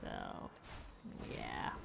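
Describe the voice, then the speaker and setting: A woman's voice: very slow, music in the background. A woman chatting casually during a gaming session. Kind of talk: casual chat during game stream.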